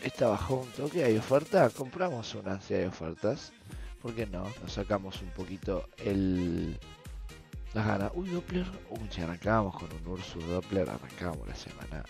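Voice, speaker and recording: Male streamer, young, Argentine accent, hoarse and lowish, slangy offhand chat over music. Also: expressive, normal speed, a little mellow. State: hoarse from shouting. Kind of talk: casual gaming video commentary. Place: small room at home, indoors.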